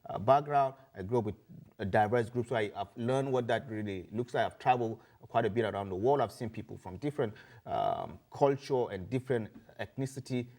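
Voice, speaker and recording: A man's voice: on the low side, expressive, fairly quick, a little mellow. Earnest Nigerian-accented man, interview guest. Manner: earnest, repeats words while searching. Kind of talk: casual interview.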